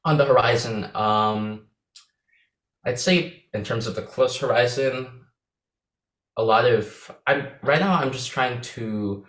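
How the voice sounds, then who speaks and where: A man's voice: mid-range voice, slow pace, a bit bright, roomy sound, poor audio. A young man from the Philippines, speaking Visayan as his first language, answering interview questions casually with many fillers and restarts. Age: young adult. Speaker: interview guest.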